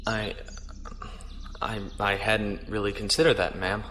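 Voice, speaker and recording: An actor playing an earnest, dutiful young starship captain in a science fiction audio drama, with polite military formality. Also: male voice, fairly low pitch, flat delivery, unhurried, noisy background, lightly acted. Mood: respectful, earnest.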